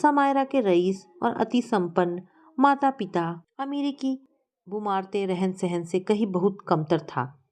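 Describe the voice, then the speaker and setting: Bright-voiced woman narrating a story. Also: medium pitch, lively intonation, slow pace.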